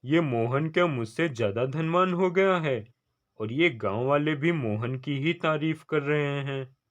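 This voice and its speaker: A man playing an envious, greedy young villager in a cartoon fable, with deliberate, declamatory delivery. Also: a little high, animated. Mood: jealous, scheming. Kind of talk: scripted cartoon story dialogue. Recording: slightly dull lo-fi studio track.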